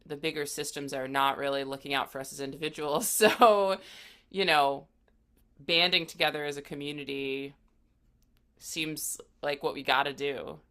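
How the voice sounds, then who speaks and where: A woman's voice: low voice, moderate pace, a bit bright, clear, resonant tone. An American woman, around her thirties, chatting and explaining things casually as an interview guest. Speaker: interview guest, guitarist and songwriter.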